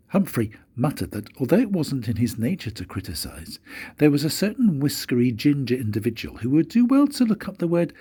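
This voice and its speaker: An older man, a grandfather, reading a children's story aloud in a husky, breathy voice with expressive, dramatic pauses. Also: medium pitch. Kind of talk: storybook read-aloud narration.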